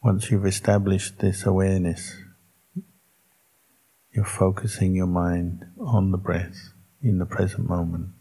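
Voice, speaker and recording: A man teaching as a Buddhist monk, leading a guided meditation in a calm, measured way with long pauses between short phrases. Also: deep voice, husky, rough recording.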